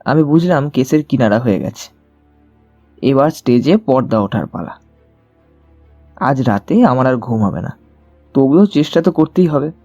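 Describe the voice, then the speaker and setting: Man, dramatised thriller narration, warm relaxed tone, conversational, slightly dull close recording. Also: medium pitch, unhurried, pauses often, dry recording, soft background music. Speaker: narrator of an audio story. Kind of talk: dramatised first-person storytelling in a detective thriller.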